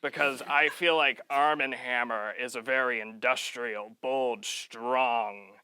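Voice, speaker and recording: A man doing a blunt, overly intense contestant who speaks in bold, measured statements. The voice is sharp and tense, slow and deliberate, recorded dry and close. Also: medium pitch, fairly even intonation, clean tone, no echo, poor audio. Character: intense overeager male dating contestant.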